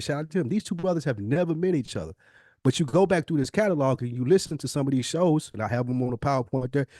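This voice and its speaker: A man co-hosting a podcast, making a casual, conversational pitch in an emphatic, insistent way, often stacking repeated phrases. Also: mellow, no room sound, studio quality. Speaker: podcast co-host.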